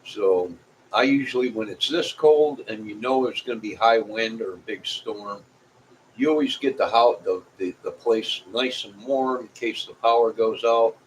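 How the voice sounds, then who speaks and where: A man's voice: fairly low pitch, normal speed, low-quality recording. A middle-aged or older man chatting casually to viewers in a folksy, rambling way, often repeating himself. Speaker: vlogger. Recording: clear background noise.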